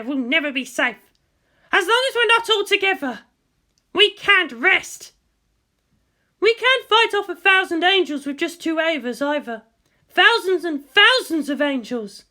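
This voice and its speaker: A woman's voice: very high-pitched, pushed and bright, pitched above the performer's own voice, full character voice. A woman voicing a wounded, hot-tempered, vengeful young female fighter in a dramatic story, snarling and emphatic.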